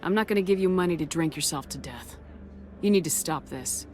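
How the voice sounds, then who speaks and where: An actress playing a calm, firm, direct female starship commander in a video game. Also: sits low, fairly quick. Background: game music.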